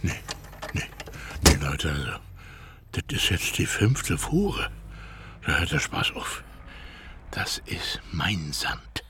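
A man's voice: sits low, big pitch swings, slow, long pauses, noisy background, full character voice. An actor playing an indignant, stern and grumbling village man in a radio play. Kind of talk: naturalistic radio play acting.

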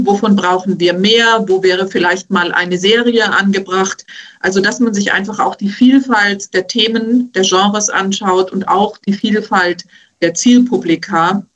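Female programme executive, medium pitch, spontaneous interview answer. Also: fairly bright tone.

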